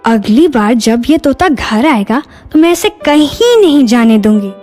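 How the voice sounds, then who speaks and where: A woman playing an anxious, easily irritated village housewife in a moral story, expressive and exclaiming. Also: high voice, measured pace, soft background music. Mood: pondering. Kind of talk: dramatized moral story dialogue.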